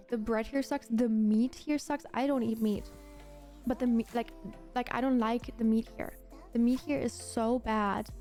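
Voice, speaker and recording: Mellow, higher-pitched German woman streamer chatting. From Germany, grew up in Berlin. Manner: gushing, emphatic, repeats herself. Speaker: livestreamer. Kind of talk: casual chat with live viewers.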